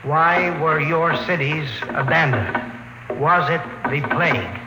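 An actor playing an earnest, deferential young patrol linguist, careful and polite, in old-time radio drama style. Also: male voice, a little high, expressive, slow, reverberant, noisy background. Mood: questioning, patient. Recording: vintage radio recording, music behind.

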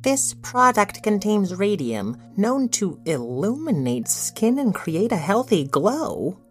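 A woman's voice: mid-range voice, sing-song, slow, faint music behind. A woman voicing an earnest, determined young girl detective in a children's audio drama, with an emphatic, bossy way of talking. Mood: curious, alert.

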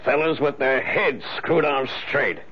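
An actor playing a hearty, folksy senator who flatters at leisure in a 1970s radio drama. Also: male voice, medium pitch, slightly rough. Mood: genial. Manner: unhurried, hearty. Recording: dull old radio, faint music behind.

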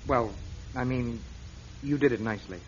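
Actor as an earnest, husky young priest. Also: male voice, mid-range voice, normal speed, natural voice. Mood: awkward. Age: young adult. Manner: polite, awkward, earnest. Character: earnest, polite, eager young assistant priest. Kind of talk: radio drama acting. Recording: muffled radio recording.

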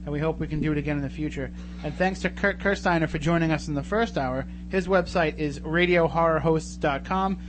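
Higher-voiced American man hosting radio, noisy hum. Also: a little fast. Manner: chatty, informal. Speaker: radio show host. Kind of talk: casual live talk radio hosting.

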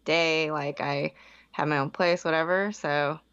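A woman's voice: low-pitched, steady tone, a little slow, a bit bright, smooth, lo-fi sound. A young woman from the Bay Area, a podcast guest chatting casually and saying "like" a lot. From San Francisco Bay Area. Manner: chatty, heavy use of "like", frequent self-restarts. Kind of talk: casual interview.

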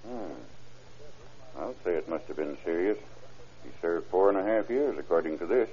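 An actor playing a gruff, plain-talking sheriff's deputy in 1940s radio crime drama style. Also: male voice, sits low, lively intonation, normal speed, warm tone. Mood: matter-of-fact. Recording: dull old radio drama recording.

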